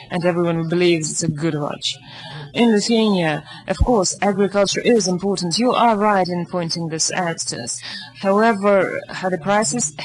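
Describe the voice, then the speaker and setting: A woman with a lowish voice gives a live spoken interpretation into English. She works haltingly, breaking off mid-word and filling gaps with "uh" as she finds the phrasing. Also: poor audio. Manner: halting, with restarts and "uh"s.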